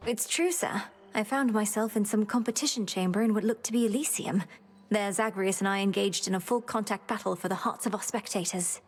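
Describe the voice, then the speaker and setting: A woman's voice: mid-range voice, fairly quick, faint music behind, lightly acted. A woman voicing an earnest, formal young witch princess.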